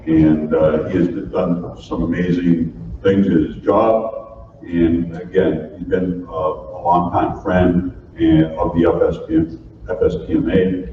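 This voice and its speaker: A man with a very low, flat voice introduces a speaker in halting, meandering phrases. He sounds far from the mic in a large hall with a long echo. The sound is muffled, with clear background noise. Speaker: organization president introducing a speaker.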